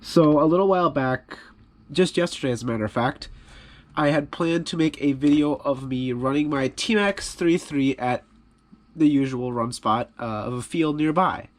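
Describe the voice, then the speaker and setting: A man with a stuffy voice from a cold, casually talking through a repair on his hobby channel in a relaxed, rambling, self-deprecating way. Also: fairly high pitch, normal speed.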